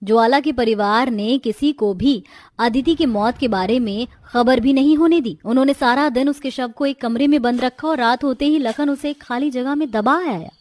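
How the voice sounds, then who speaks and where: A woman's voice: high voice, normal speed, darkish tone, dry recording. A woman delivering scripted, dramatic narration for a cartoon story.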